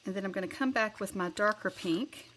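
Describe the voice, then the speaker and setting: Southern-accented woman hosting a craft channel; bright, chatty, rambles and corrects herself. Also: medium pitch, brisk, a little hiss or hum. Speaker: card-making channel host. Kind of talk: casual craft tutorial.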